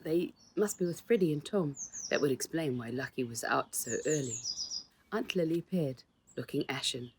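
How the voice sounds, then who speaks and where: A woman with a very low-pitched voice narrates a children's storybook. Her reading is measured, with dramatic stresses where characters speak. There is slight noise behind her. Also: lively intonation. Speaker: author reading her own book. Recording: noticeable background noise.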